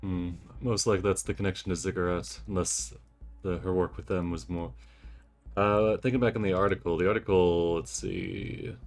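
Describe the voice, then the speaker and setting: A man playing a tabletop roleplaying game, thinking aloud in a measured, casual way as he plans what his character does. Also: sits low, fairly even intonation, creaky. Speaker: player. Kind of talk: casual tabletop roleplay, planning and describing actions.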